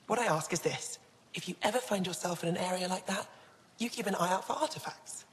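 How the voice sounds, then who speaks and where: Actress as young alien relic curator, game dialogue. Low female voice, earnest and wistful, in a reverberant hall. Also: fairly quick, lightly acted.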